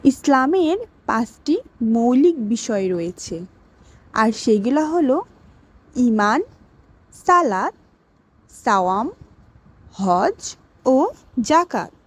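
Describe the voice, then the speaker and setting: A woman playing a patient schoolteacher in a children's cartoon, giving a scripted lesson in a deliberate, instructive way. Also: fairly high pitch, expressive. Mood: explaining. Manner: slow, deliberate, instructive, addressing pupils directly. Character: female schoolteacher patiently teaching Islamic basics to children.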